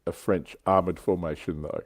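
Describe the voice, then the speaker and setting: A man's voice: deep voice, fairly even intonation, normal speed, no echo, clean studio sound. A man hosting a hobby livestream in casual, enthusiastic chat. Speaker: show host on a hobby livestream.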